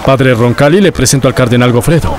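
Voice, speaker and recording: An actor playing a formal, proud senior cleric making introductions, in a dubbed film. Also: male voice, mid-range voice, fast talker, noisy background, lightly acted. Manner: formal, ceremonious.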